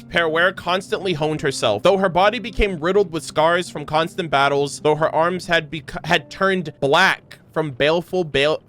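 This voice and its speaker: A man streaming a casual reaction to game lore, moving between reading aloud and animated, slangy asides. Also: fairly high pitch.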